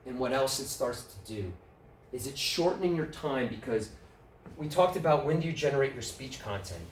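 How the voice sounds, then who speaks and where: A man's voice: medium pitch, normal speed. New York man teaching a workshop in a roomy hall. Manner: relaxed, questions the audience. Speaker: workshop presenter. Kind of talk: conversational speaking workshop. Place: club meeting room. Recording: roomy, distant mic, faint room noise.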